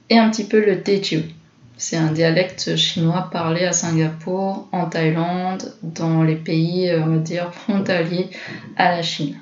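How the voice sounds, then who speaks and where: A French woman in her thirties talks slowly and casually, pausing often. Her voice sits a little low, and the recording sounds roomy and slightly dull. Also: darkish tone. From France. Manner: unhurried, with frequent hesitations. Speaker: SEO consultant and vlogger. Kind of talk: casual self-introduction to camera.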